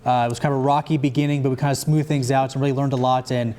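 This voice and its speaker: A man's voice: mid-range voice, level tone, racing, warm tone. A young American man anchoring a scripted satirical student newscast. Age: young adult.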